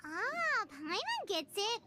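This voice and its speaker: Tiny squeaky fairy sidekick for a game, super high, swooping all over, naive, loud noise behind. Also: female voice, very animated, slow, exaggerated voice. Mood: eager. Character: tiny childlike floating fairy companion, eager and naive. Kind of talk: cartoonish video game voice acting. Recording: loud background noise and clicks.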